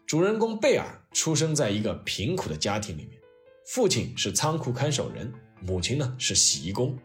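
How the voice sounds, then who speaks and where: A man hosting a history storytelling podcast, reading scripted narration in an expressive, reflective way with rhetorical questions. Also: medium pitch. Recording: music playing behind the voice. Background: soft piano and orchestral music.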